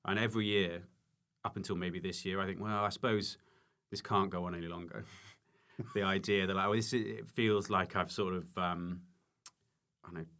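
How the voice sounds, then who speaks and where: A man talking casually as an interview guest, halting and self-correcting, repeating words for emphasis. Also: deep voice, steady tone, sharp tone. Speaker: podcast guest, stand-up comedian and former teacher.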